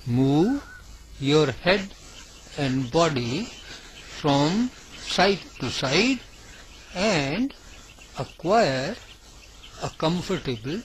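Male meditation guide, higher-pitched voice, extremely slow delivery in brief phrases, faint background noise with birdsong. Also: very animated. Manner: deliberate short phrases with long pauses. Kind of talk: slow guided yoga nidra meditation narration.

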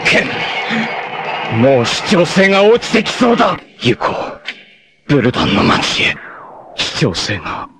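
A man's voice: on the high side, wildly swooping pitch, a little slow, creaky, pauses often, fairly bright tone, full character voice. A man voicing a proud, stoic young male martial artist who is dying, in 1980s TV anime style, speaking in grave, solemn declarations with sudden anguished outbursts. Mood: strained. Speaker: voice actor. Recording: old TV anime audio with hiss.

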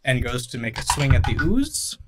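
A man in his thirties narrating as game master of a tabletop game on a headset, halting and relaxed, with many fillers. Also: medium pitch, music in the background.

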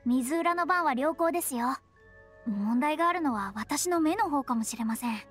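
A proud young astrologer woman from a game speaks in a high voice. She is formal, dramatic and a touch haughty. Soft music plays underneath. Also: expressive, moderate pace. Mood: indignant disbelief. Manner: polite but haughty, dramatic. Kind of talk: Japanese game character acting.

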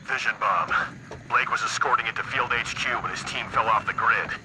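An actor playing a tough, urgent soldier in a video game, giving clipped military commands. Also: male voice, lowish voice, brisk, background noise, natural voice. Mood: tense, commanding. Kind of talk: video game combat acting. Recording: music behind the voice.

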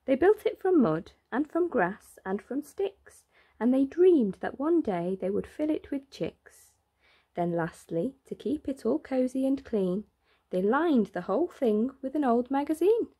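A woman reading a rhyming children's picture book aloud in an expressive, deliberate way, drawing out words for emphasis. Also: sits high, breathy edge. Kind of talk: expressive read-aloud of a rhyming bedtime story.

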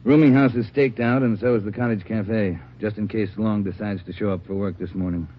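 An actor playing an authoritative American police chief in an old radio drama, talking in clipped phrases on a muffled recording. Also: male voice, lowish voice, steady tone, fairly quick, slight background noise, close to an everyday voice. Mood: grim, authoritative. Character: gruff, commanding male police chief. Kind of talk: 1950s radio drama acting.

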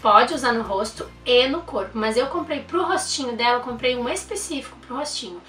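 A woman's voice: fairly high pitch, animated, brisk, fairly bright tone, reverberant, recorded from a distance, soft background music, low-quality recording. A young woman, reviewing baby products in a chatty, enthusiastic way and using lots of diminutives. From Brazil. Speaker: mom vlogger. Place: indoor room.

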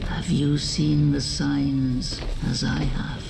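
An actor playing a grim, commanding male zealot in a video game, deliberate and ominous. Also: fairly high pitch, flat delivery, creaky, very noisy, full character voice. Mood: foreboding. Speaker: game character.

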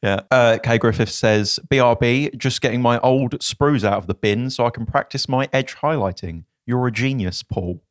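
A man's voice: medium pitch, moderate pace, hardly any pauses, no room sound. A man in his twenties with a southern English accent, bantering casually as a podcast co-host, close on the mic. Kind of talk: casual chat, reading listener comments. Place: small podcast studio. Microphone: close microphone on boom arm.

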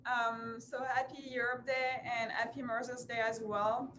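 A woman's voice: on the high side, little pitch movement, a little slow, a bit bright, a little hiss or hum. A young woman with a French accent introducing her family bakery in a kitchen, chatty with many fillers. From France. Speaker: bakery representative. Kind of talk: live cooking demo presentation.

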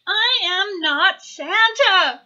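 A woman's voice: sits high, big pitch swings, slow, pushed and bright, highs cut off, pitched above the performer's own voice, heavily acted. A woman voicing a small, earnest baby owl for a storybook, indignant and emphatic, stretching out stressed words in protest. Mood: exasperated.

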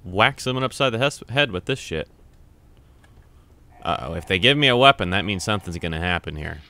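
Excitable young man gaming, bright lively voice. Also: deep voice, fairly quick, a little hiss or hum. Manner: loud startled yelps and swearing; reads on-screen text aloud. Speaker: gamer playing on camera. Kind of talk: casual let's-play commentary.

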